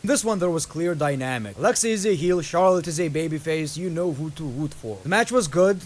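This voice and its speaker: A man's voice: on the high side, animated, brisk. A young man from Lithuania with a Lithuanian accent, giving blunt, casual reaction commentary and talking straight to his viewers. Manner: blunt, keeps addressing viewers as "people". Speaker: wrestling reaction host.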